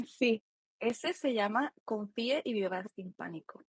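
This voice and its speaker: A woman with a Costa Rican accent and a husky voice, explaining reflectively as an expert guest in a conversational interview. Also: a little high, animated, slow, dry recording. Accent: Costa Rican Spanish. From Costa Rica. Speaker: expert guest, psychologist and author.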